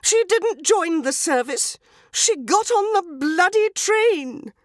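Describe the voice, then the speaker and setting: Indignant lady passenger acted by a woman reader: high, shrill, prim, swooping outrage, storybook style. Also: expressive, takes it slowly, no room sound, clean studio sound, heavily acted. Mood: outraged. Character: indignant, easily upset railway passenger lady. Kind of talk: dramatised story reading.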